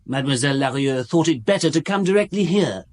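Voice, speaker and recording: A fussy, high-pitched male government official. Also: animated, fast, darkish tone. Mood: cautious, officious. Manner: formal, hesitant, pedantic, circumspect. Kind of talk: radio drama acting.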